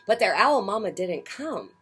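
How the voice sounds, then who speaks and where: Expressive, unhurried woman reading a picture book. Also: mid-range voice, soft background music. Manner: theatrical, emphatic, with dynamic swells and hushes. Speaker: children's story time host. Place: outdoors on a porch.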